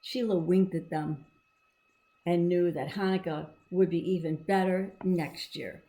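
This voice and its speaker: A woman's voice: on the low side, steady tone, unhurried, dark tone, rough recording. A woman reading and explaining a storybook to children in a simple, encouraging, teacherly manner. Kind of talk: read-aloud with explanatory asides to children.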